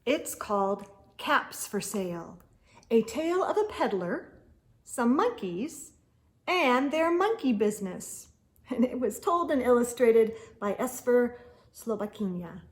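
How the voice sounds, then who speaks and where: A woman reading a picture book aloud to children as host, expressive and putting questions to young listeners. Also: a little high, a little slow.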